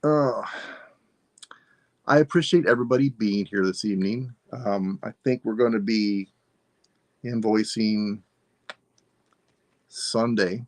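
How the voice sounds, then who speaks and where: A man of sixty-five, hosting a live sale and signing off in a relaxed, rambling way while losing his voice. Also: lowish voice, slow, pauses often, no echo. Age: 60s. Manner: relaxed, rambling, self-deprecating. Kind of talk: casual live stream sign-off.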